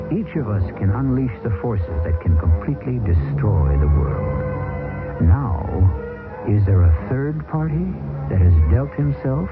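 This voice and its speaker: A man hosting and narrating a radio drama, grave, ominous and measured. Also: fairly low pitch. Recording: tinny old radio broadcast with music.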